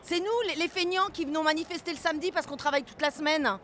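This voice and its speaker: A woman's voice: high voice, steady tone, quick pace, fairly bright tone. A woman speaking as an outraged protester in a street interview, colloquial and repeating her words. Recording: street noise at a demonstration.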